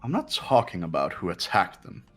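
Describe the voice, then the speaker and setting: Disdainful, suspicious young rich-boy type, acted by a man, low-pitched, condescending game-character delivery. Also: huge pitch swings, fairly bright tone, faint music behind, full character voice. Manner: condescending, measured. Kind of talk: amateur game voice acting.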